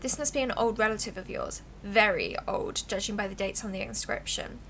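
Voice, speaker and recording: A woman livestreamer giving casual, expressive, giggly game commentary and reading the game's text aloud. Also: mid-range voice, fairly quick, creaky, a little hiss or hum. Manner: giggly, expressive, reacts out loud.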